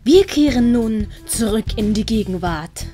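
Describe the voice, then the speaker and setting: A woman's voice: fairly high pitch, lively intonation, unhurried, dark tone. A woman narrating a scripted story as an audiobook storyteller. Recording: music under the narration. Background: prominent plucked-string music.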